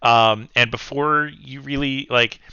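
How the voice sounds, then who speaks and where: Bright, forceful man running a tabletop game. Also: medium pitch, fairly even intonation, measured pace, smooth, dry recording, lo-fi sound. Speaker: game master. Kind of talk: improvised tabletop game narration, casual.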